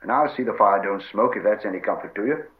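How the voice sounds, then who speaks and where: A man voicing a gruff, practical, stubborn hobbit servant who talks in homely rustic phrases in a storybook reading. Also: on the low side, fast, narrow, tinny audio, close to an everyday voice. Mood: stubborn, scolding.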